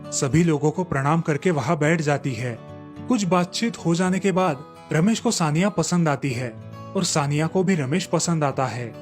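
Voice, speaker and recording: Narrator, male, for scripted children's moral tales, faint music underneath. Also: fairly high pitch.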